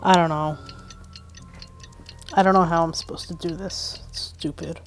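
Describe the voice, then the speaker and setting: A woman's voice: lowish voice, steady tone, normal speed, soft background music. A woman giving casual commentary while playing a game, muttering in exasperation. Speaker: game walkthrough player.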